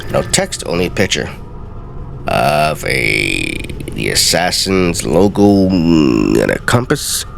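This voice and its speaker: A man's voice: very deep voice, big pitch swings, takes it slowly, gravelly, a bit bright, faint music behind. A man hosting a let's play with casual, off-the-cuff gameplay commentary.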